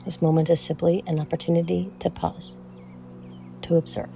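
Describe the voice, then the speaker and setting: A meditation guide speaking in a soothing, measured way with frequent pauses and a soft, at times breathy voice. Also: medium pitch, flat delivery, soft background music.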